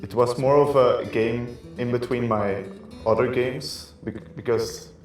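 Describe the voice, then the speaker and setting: A man's voice: mid-range voice, fairly even intonation, unhurried. A man, an esports player, giving answers in a recorded interview. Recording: very echoey room, guitar music underneath. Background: plucked guitar music.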